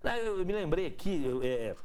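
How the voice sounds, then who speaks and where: A man's voice: high voice, big pitch swings, normal speed, darkish tone, clean tone. Chatty joking man host. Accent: Minas Gerais Portuguese. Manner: chatty, joking, repeats words while searching. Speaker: interviewer host. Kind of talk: casual interview chat.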